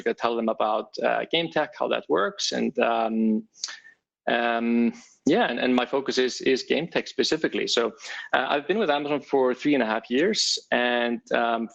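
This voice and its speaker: A man's voice: medium pitch, creaky, fairly bright tone, lo-fi sound. A man from Iceland with an Icelandic accent, giving a casual tech talk at an online meetup, with many fillers and restarts. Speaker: developer advocate.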